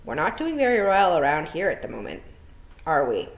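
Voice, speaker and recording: Woman reading a small mystery voice in a storybook, blunt, plain-spoken, lowish tone. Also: big pitch swings, a little fast, roomy sound, muffled recording.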